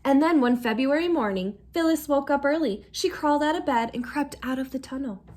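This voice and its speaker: A woman's voice: high-pitched, a little fast, darkish tone. A woman reading a picture book aloud to children, expressively, as a teacher who puts questions to listeners. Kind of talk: animated storybook read-aloud with asides to listeners.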